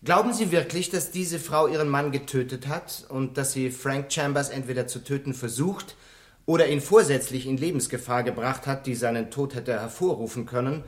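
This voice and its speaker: An actor playing a formal, probing defence lawyer questioning in court in a German radio play, with measured phrasing. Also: male voice, medium pitch, lightly acted.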